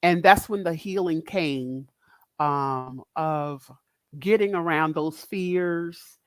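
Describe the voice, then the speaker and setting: A middle-aged woman from the Northeast US with a big, deep, loud voice, talking candidly and directly in a casual podcast chat as a guest speaker. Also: slow pace, no room sound. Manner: direct, candid, laughs often.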